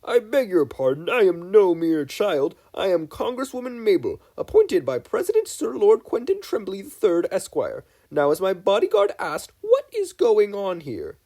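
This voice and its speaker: A man's voice: high-pitched, huge pitch swings, normal speed, hardly any pauses, dark tone, voice pushed up, heavily acted. A man voicing a bold young girl who acts the haughty congresswoman, speaking in a formal, declamatory way. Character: bold twelve-year-old girl playing a haughty congresswoman.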